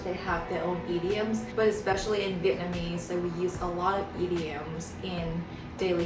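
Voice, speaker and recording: A young woman hosting a casual home chat show, talking in a bubbly, excitable way. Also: mid-range voice. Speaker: host. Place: home room. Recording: echoey room, mic far off, music behind.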